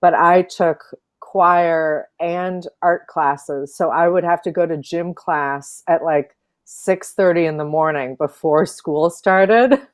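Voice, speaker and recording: A woman from Illinois, an opera singer, chatting as an interview guest over a video call, thoughtful with many fillers and soft laughs. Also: on the low side, takes it slowly. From Illinois, USA. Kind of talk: casual interview chat.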